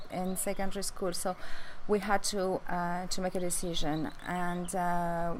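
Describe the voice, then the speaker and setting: Woman, French accent, forties, low voice, halting with fillers, faint outdoor hiss. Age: 40s. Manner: halting, many fillers and restarts. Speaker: vlogger. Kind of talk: casual personal story to camera. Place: outdoors on a rooftop.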